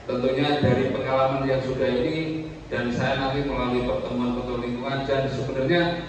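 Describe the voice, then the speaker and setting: A man's voice: mid-range voice, fairly even intonation, brisk. A man speaking as village head to residents, earnest and persuasive. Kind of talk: speech to villagers. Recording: echoey, distant with noise.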